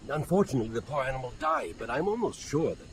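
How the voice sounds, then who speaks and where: A man's voice: mid-range voice, lively intonation, fast, background noise, close to an everyday voice. A man playing a wordy, fussy scholar in a video game, speaking in pompous, formal phrases. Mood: pedantic concern. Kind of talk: video game cutscene acting.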